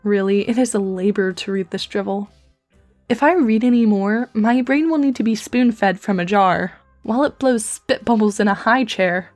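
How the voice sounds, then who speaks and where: A dry, composed teenage girl in a webcomic dub, acted by a woman. She speaks at a medium pitch in long, articulate, deadpan sentences, with faint music underneath. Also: normal speed, natural voice. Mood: wry. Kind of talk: fan comic dub acting.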